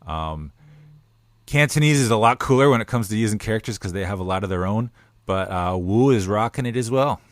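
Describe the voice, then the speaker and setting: A man's voice: fairly low pitch, animated, a little fast, dry recording, clean studio sound. A man co-hosting a podcast, chatting in an upbeat, joking way. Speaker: podcast co-host. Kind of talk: casual podcast chat.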